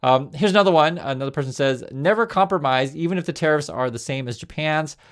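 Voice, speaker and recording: A man's voice: on the high side, fast. A man hosting a casual commentary show, chatting loosely, restarting words and reading quotes aloud. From United States. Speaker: news commentary host.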